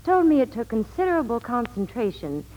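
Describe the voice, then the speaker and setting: A woman's voice: fairly high pitch, sing-song, brisk, darkish tone, highs cut off. A woman giving scripted Hollywood reports and sponsor talk in 1940s radio style. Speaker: Hollywood reporter and soap pitchwoman.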